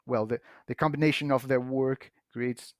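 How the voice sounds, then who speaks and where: A man whose first language is Portuguese, patiently explaining software on a podcast, often restarting his sentences. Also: mid-range voice, steady tone, dry recording, studio quality. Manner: patient, halting with frequent restarts and fillers. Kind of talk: casual explanatory chat.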